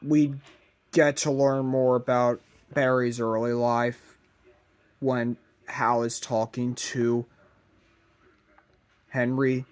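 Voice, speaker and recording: Slow, halting man narrating. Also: mid-range voice, little pitch movement, smooth. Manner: halting, drawn-out delivery in short broken phrases. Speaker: fan video narrator. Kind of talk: rambling fan-fiction plot narration.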